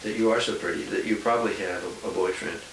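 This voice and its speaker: Older man, grandpa type, soft encouraging questions; distant home tape with steady hiss and clicks. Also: on the low side, fast talker. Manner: gentle, coaxing questions with warm praise. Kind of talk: casual home interview. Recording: echoey room from far off with hiss and clicks.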